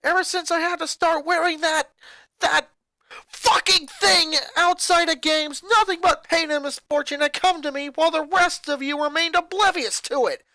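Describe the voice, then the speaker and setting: Woman playing a bitter yelling kid, high piercing voice, dry close sound. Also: normal speed, dry recording. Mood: furious. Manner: shouting angry rant. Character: furious boy, eleven-year-old, bitter and resentful.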